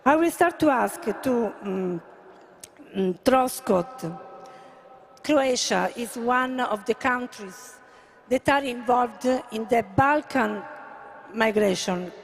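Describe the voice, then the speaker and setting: A woman with an Italian accent moderating a live conference panel in halting, hesitant English with repeated words. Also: a little high, expressive. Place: conference venue. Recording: faint live venue background noise.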